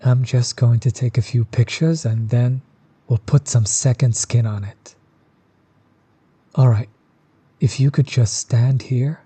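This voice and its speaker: Man playing a mellow, laid-back tattoo artist. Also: mid-range voice, slow pace, pauses often, breathy edge. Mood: easygoing, pleased. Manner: relaxed, supportive, explains gently. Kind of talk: audio roleplay, talking to the listener.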